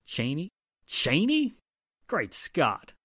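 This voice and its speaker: A man plays a spluttering, exasperated police inspector from a 1940s radio mystery. His pitch leaps about wildly as he blusters. The sound is very dry, with no room to it. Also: huge pitch swings, very slow, bright, forceful tone, slightly rough, no echo, full character voice. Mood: incredulous. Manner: spluttering, emphatic, incredulous. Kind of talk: 1940s-style radio drama acting.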